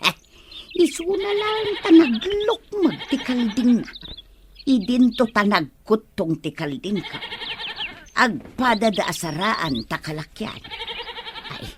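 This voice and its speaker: A woman's voice: fairly high pitch, animated, takes it slowly, pauses often, noisy background. An actress playing a scheming village woman in an Ilocano radio comedy drama, drawling and muttering to herself with an Ilocano Filipino accent. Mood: sly, gloating. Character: scheming woman villager sneakily swapping goats.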